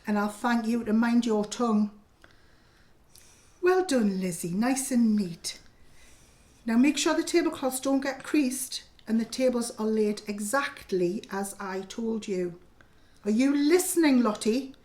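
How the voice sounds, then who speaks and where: An actress playing a strict, bossy Edwardian household matron in an audio play, giving commanding orders and scoldings. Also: female voice, a little high, a little slow, darkish tone, lightly acted.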